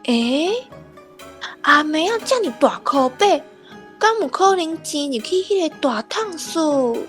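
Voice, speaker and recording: Radio drama big sister, young girl: high, unhurried, playful, Taiwanese accent. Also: expressive, a bit bright, faint music behind. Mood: puzzled, teasing.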